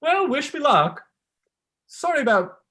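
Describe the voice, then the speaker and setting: A man's voice: very high voice, very animated, unhurried, sharp tone, heavily acted. Young man acting a smug academic, swooping intonation. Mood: self-satisfied. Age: young adult.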